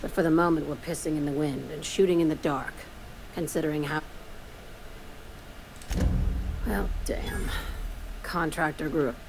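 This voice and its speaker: A woman's voice: low-pitched, fairly even intonation, normal speed, vocal fry, noisy background. An actress playing a cold, sardonic, paranoid female boss in a video game, with dry, clipped, menacing delivery. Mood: dry, guarded.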